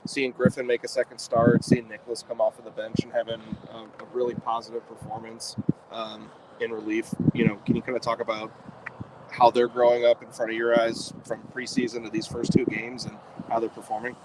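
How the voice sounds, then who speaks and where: A man's voice: mid-range voice, completely flat delivery, fairly quick. A man working as a podcast interviewer, asking loose, rambling questions in a casual post-game style. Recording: clear outdoor background noise.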